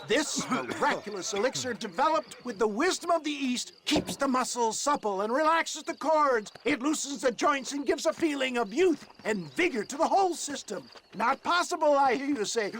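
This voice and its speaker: An old frontier huckster from a Western game, pitched very high for a man. He delivers grandiose, florid patter like a showman. Faint outdoor noise with insects sits behind the voice. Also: expressive, normal speed, few pauses, a bit bright. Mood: showman's bluster. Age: older. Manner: theatrical, florid, hawker's patter. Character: grandiloquent old snake-oil salesman in the Wild West.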